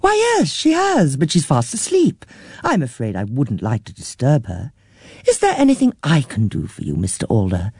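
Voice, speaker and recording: A woman's voice: deep voice, huge pitch swings, normal speed, a little mellow, slightly rough, dry recording, clean studio sound, heavily acted. A man voicing a cheerful, patient woman in her fifties, speaking politely in a storybook reading. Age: 50s. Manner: polite, coaxing. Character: patient, cheerful middle-aged niece.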